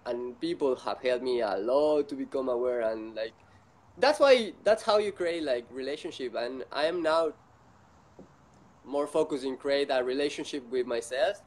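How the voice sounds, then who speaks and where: A man who speaks English as a second language, talking casually as an interview guest in a halting, repetitive way with many fillers. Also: mid-range voice, darkish tone. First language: not English.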